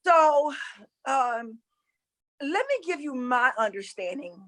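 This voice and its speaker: A woman's voice: high-pitched, big pitch swings, takes it slowly, fairly bright tone. A woman from North Carolina with a Southern accent, teaching about spirituality casually and earnestly, repeating phrases for emphasis. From North Carolina, USA.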